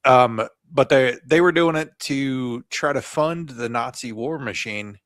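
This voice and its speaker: A man co-hosting a podcast who reads through his research notes and keeps dropping in offhand jokes. His voice sits at an ordinary pitch, and it is recorded close with a dry, roomless sound. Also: unhurried, a bit bright, no echo. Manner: jokey, reads notes aloud with asides. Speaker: podcast co-host. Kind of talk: casual chat reading from notes.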